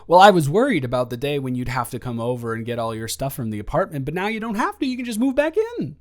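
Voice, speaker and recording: A young man playing an awkward, eager, rambling ex-boyfriend in an improvised romantic roleplay audio, stammering and halting as he talks. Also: mid-range voice, very animated, lightly acted. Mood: amazed, excited.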